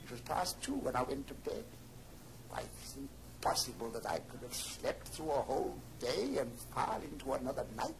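An actor playing a frightened, bewildered old miser in halting exclamations, in an old radio drama. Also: male voice, medium pitch, sing-song, gravelly, noisy background. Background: music.

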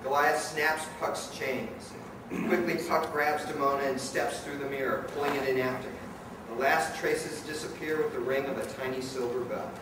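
A man's voice: medium pitch, fairly even intonation, normal speed. A man reading dramatic scripted narration as the narrator of a live radio play. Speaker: narrator and director. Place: event hall before an audience. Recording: very echoey, distant, noisy live hall.

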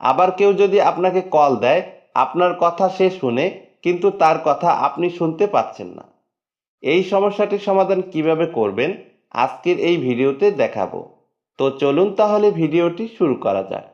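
A man narrating a step-by-step phone settings tutorial in a plain, steady instructional tone. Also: fairly high pitch, moderate pace. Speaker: tech tutorial host. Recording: dull lo-fi voice-over.

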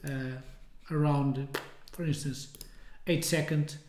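A man in his thirties giving an informal, hesitant software demonstration, often rephrasing as he goes. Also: fairly high pitch, steady tone, slow pace. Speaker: software tutorial presenter. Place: indoors, small room with window blinds. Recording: roomy, echoey indoor sound.

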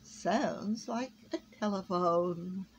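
A woman's voice: mid-range voice, extremely slow. Lady doing a dry know-it-all bug professor guy from a storybook, pompous and wordy, bit of noise. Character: educated pompous giant male bug professor.

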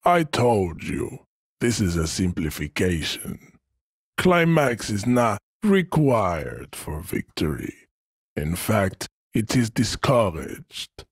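An actor playing an arrogant hyper-masculine strongman philosopher in a video game, lecturing with pompous, deliberate condescension. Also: lowish voice, big pitch swings, breathy edge, dry recording, studio quality, exaggerated voice. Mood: grandiose, lecturing. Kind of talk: video game character acting.

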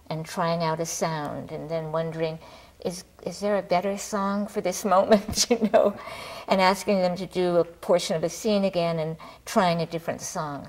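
A middle-aged woman with a fairly low voice talks casually in a studio interview. She speaks haltingly, often restarting a thought as she explains things as a director would. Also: poor audio. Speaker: theater director, talk show guest. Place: TV studio, seated at a table.

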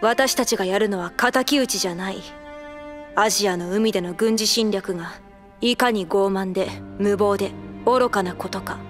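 An actress voicing a stern male carrier captain, measured and commanding, over music. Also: mid-range voice, natural voice. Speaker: narrator and captain.

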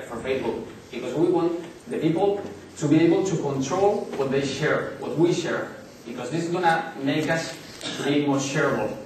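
A man in his thirties with a Spanish accent, giving a business presentation at a conference in English, pausing often with fillers and restarts. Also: mid-range voice, a little hiss or hum. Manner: halting, many fillers and restarts. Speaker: conference speaker. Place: large hotel conference hall. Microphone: far camera in the audience. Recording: echoey hall recorded from far off.

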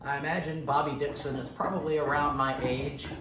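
A middle-aged man giving a casual, unscripted show-and-tell, halting and pausing mid-sentence. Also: a little high, flat delivery, heavy background noise. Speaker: retro computer vlogger. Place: dining room at home, indoors.

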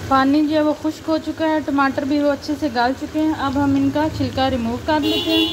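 A woman, calmly explaining each cooking step as a recipe voice-over. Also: high-pitched, brisk, noisy background. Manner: calm, instructive, points things out to viewer. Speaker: cooking channel host. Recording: loud frying sizzle behind voice.

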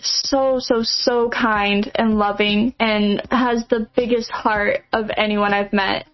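A young adult woman from Nebraska, answering interview questions in a chatty way with lots of fillers and restarts. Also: fairly high pitch. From Nebraska, USA. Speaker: podcast guest. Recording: dull lo-fi audio.

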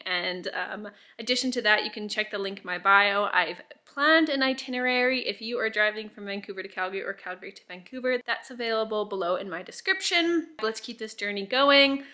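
A woman's voice: medium pitch, pushed and bright. A woman in her thirties talking to a camera held at arm's length, chatting casually and rambling upbeat as a travel vlogger. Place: hostel grounds, car and home bedroom.

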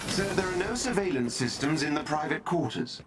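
An actor playing a polite, dry-witted AI butler in a video game, formal, deferential and deadpan. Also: male voice, on the high side, a little fast, background noise, lightly acted. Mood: composed, formal. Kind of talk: game cutscene acting.

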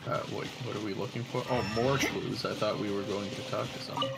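Low-voiced young man commenting on a game. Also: fairly even intonation, brisk, creaky. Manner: offhand, joking. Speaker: gaming YouTuber. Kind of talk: casual let's play commentary. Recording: game music behind voice.

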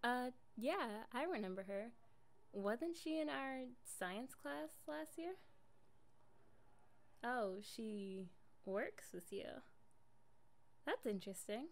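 A woman's voice: fairly high pitch, lively intonation, takes it slowly, pauses often. A young woman playing an affectionate, jealous girlfriend in an improvised ASMR roleplay, casual and coaxing in an intimate way. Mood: jealous, defensive.

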